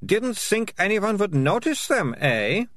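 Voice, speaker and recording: A woman's voice: mid-range voice, sing-song, unhurried, dry recording, pitched above the performer's own voice. A man reading aloud, voicing a bossy, theatrical teenage girl who speaks in an accusing tone.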